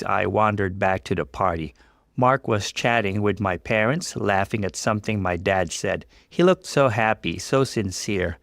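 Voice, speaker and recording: A man's voice: on the low side, no room sound, studio quality. A man narrating a scripted first-person story in a dramatic reading style.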